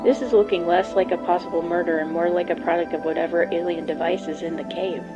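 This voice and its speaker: A woman's voice: on the low side, fairly even intonation, fast, lightly acted. A woman playing a serious, clinical starship doctor in a sci-fi audio drama. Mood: clinical, grave. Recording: dull, band-limited voice under music.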